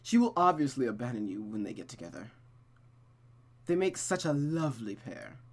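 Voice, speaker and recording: Visual novel mother, played by a male reader: cold, controlling, deliberate, scornfully condescending. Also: mid-range voice, very animated. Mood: mocking, condescending.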